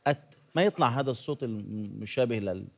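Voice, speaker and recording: Man, quick speech, warm detailed critique, demonstrates letter sounds. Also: mid-range voice, expressive, vocal fry. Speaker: competition judge. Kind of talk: spoken critique.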